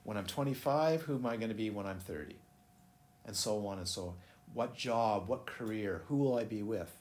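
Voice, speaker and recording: Low-voiced man giving a rambling dharma talk. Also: darkish tone. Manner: rambling, self-interrupting, asks listeners questions. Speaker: meditation teacher. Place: busy room. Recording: echoey room, faint ambient noise.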